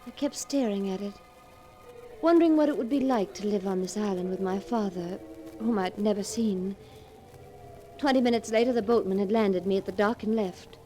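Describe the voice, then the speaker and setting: An actress playing an earnest, anxious young heroine in a 1940s radio drama, with dramatic delivery. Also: female voice, medium pitch, normal speed, mellow, faint music behind, close to an everyday voice. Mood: wistful, foreboding.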